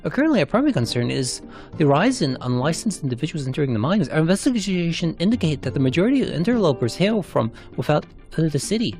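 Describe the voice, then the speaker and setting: A man voicing a formal, officious recruiting clerk in a narrated game cutscene, speaking politely and haltingly. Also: on the high side, big pitch swings, darkish tone, soft background music.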